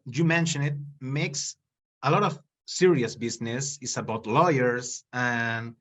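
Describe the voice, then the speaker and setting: A man's voice: mid-range voice, a bit bright. A man from Chile with a Chilean accent in English, a native Spanish speaker, politely asking interview questions.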